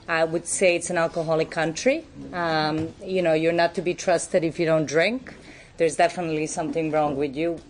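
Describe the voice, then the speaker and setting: A woman's voice: deep voice, steady tone, moderate pace, slight background noise. A woman from Serbia with a Serbian accent, giving a candid, reflective recovery talk as a guest speaker, with frequent fillers.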